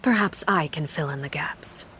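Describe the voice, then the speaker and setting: An actress playing a composed, commanding woman leader in a video game, speaking formally and with measured, cool politeness. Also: low-pitched, heavy background noise, natural voice. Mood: cool composure.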